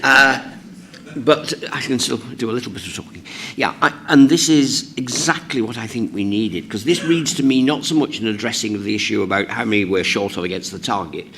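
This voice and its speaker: A man speaking hoarsely with the flu, giving measured, emphatic remarks as a board member in a formal meeting. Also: on the low side, fairly bright tone, a little hiss or hum. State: hoarse from the flu, voice lower than usual.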